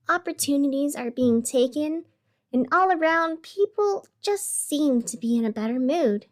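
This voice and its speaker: A woman playing a radio host in an audio drama, narrating with measured, theatrical delivery and dramatic pauses. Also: sits high. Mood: upbeat reporting. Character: sleepless, over-caffeinated female radio host in strange city. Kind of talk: scripted radio fiction narration.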